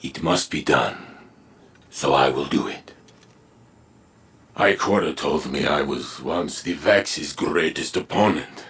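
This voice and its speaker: An actor playing a grave, noble robot warrior in a video game, speaking solemnly and deliberately. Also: male voice, rumbling low, vocal fry, a bit bright, husky, full character voice. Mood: solemn, resolute. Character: grave, noble male robot warrior, long battle-worn.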